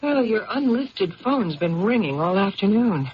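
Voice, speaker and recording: A woman's voice: mid-range voice, very animated, measured pace. A woman playing an affectionate, ambitious politician's wife in a 1970s radio drama, coaxing and attentive. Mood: loving concern. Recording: dull, faintly noisy 1970s radio broadcast.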